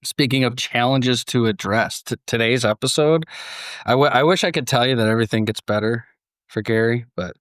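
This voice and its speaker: A man's voice: medium pitch, fairly quick, fairly bright tone, no echo. Casual American man hosting, dry close sound. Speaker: podcast host. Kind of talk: casual podcast talk.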